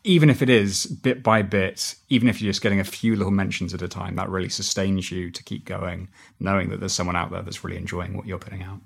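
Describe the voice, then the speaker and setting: A man's voice: sits low, steady tone, fast talker, vocal fry, hardly any pauses, breathy edge. A man being interviewed as a podcast writer, talking conversationally and acting out little quoted reactions as he explains.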